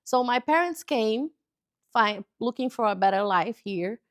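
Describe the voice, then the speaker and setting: A woman in her forties from Brazil, whose first language is Portuguese, speaking English with a Brazilian accent in a TV interview and pausing often to find words. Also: a little high, animated, no room sound, clean studio sound. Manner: halting, searching for words, cheerful. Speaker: guest author. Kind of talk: casual interview chat. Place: local TV studio.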